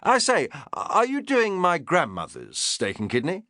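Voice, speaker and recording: A cheerful, plummy English lord, put on by a male narrator. He sounds eager and genteel, his pitch leaping up brightly, in a dry, close recording. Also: huge pitch swings, measured pace, no echo, heavily acted. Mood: delighted. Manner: plummy, enthusiastic.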